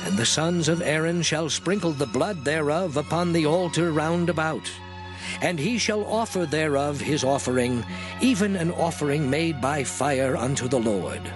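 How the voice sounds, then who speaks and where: An actor playing a solemn lawgiving voice in a dramatized Bible reading, declaiming scripture. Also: male voice, fairly high pitch, lively intonation, normal speed, lightly acted. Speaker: scripture reader. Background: music under the voice.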